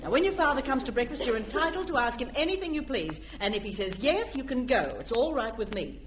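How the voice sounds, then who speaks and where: A woman playing a brisk, scolding mother in an old-time radio comedy. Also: on the high side, expressive, natural voice. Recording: noisy old radio recording.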